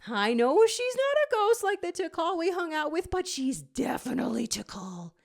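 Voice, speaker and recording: Woman as a high, chirpy young intern henchman. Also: sing-song, moderate pace, gravelly, heavily acted. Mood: eager, cheerful.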